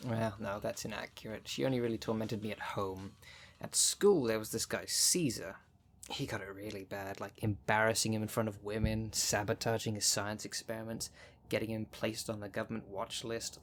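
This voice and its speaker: Gravelly, sardonic city witch acted by a man. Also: on the low side, fairly even intonation, normal speed, a little hiss or hum, natural voice. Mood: sardonic reminiscing. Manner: dry, sarcastic, sweary, sighing. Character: jaded, sardonic city witch, woman of thirty-two, potion brewer. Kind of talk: ASMR roleplay, conversational monologue.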